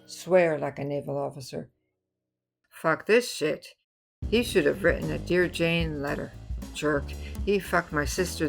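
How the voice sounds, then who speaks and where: An older American woman reading spoken-word poetry in measured, short phrases. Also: sits low, vocal fry. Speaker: poet reading own work. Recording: music behind the voice. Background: music.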